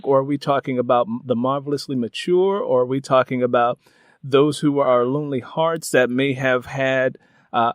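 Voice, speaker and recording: A man hosting an interview podcast, speaking in drawn-out, emphatic phrases. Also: medium pitch, no room sound, studio quality.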